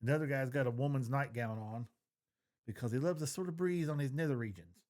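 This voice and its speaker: A man's voice: mid-range voice, a little fast, darkish tone. A man chatting casually as a podcast co-host, opinionated and informal, with the odd swear word. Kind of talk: casual fan discussion.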